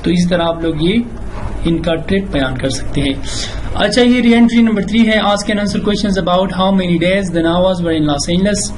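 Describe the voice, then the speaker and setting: A man with a Pakistani accent teaching a bilingual English lesson, explaining grammar and reading out examples in a deliberate, instructional way. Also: high voice, a little hiss or hum, poor audio.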